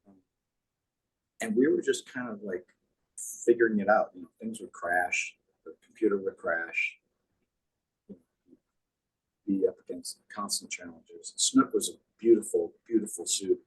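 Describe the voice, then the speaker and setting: A man with a deep voice, chatting casually as an interview guest and reminiscing in an animated storytelling way. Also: unhurried, pauses often, poor audio. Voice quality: deep, husky. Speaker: guest, puppeteer.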